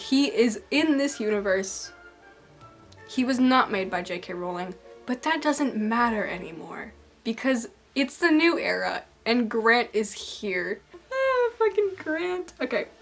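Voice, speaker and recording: A young woman rambling through a casual video essay, self-deprecating, her voice a little raspy and tired. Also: a little high, a bit bright.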